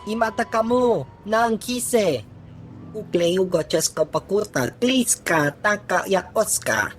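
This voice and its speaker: An actor playing an alien creature in a video game, speaking slowly in an invented alien tongue. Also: medium pitch, animated, faint music behind. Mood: uneasy. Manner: slow, foreign-sounding invented alien speech.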